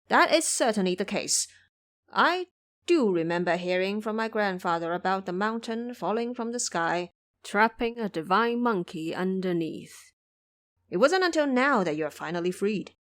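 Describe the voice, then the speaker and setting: Woman voicing kindly ancient village man, expressive. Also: mid-range voice, moderate pace, close to an everyday voice. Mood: reminiscing. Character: kindly, frail 130-year-old village man.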